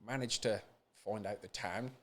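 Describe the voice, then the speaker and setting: Lowish-voiced British man, speaking candidly. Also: normal speed. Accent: British English. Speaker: podcast guest, support group founder. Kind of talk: candid personal interview.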